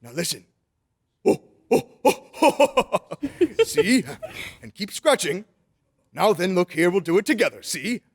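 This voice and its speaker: A man performs a jolly old Father Christmas for an old-time radio drama. The voice sits high for a man and is husky, with lively rises and falls. The phrasing is kindly, old-fashioned and playful. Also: unhurried, exaggerated voice. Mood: gleeful. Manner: chuckling, coaxing, old-fashioned grandfatherly phrasing.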